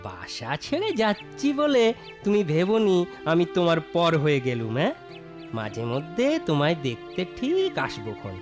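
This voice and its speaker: Animated, ambitious young village husband. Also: male voice, a little high, normal speed, over music. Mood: determined. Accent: Bengali. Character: ambitious poor young village husband, brisk, stubborn dreamer. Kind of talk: cartoon voice acting.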